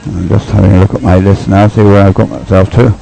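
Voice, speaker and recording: A man's voice: deep voice, little pitch movement, fast, vocal fry, warm tone, soft background music, low-quality recording. A man giving unscripted live race commentary in a rambling, hesitant way, often thinking aloud. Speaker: sports commentator.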